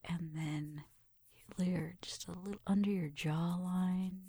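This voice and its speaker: A woman speaks in a soft, intimate ASMR roleplay style, drifting between whisper and low murmur. Her voice is creaky and husky at the edges. It sounds very close and dry, with gentle step-by-step narration. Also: fairly even intonation, slow, no room sound. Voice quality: soft, breathy, often whispering. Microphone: close mic.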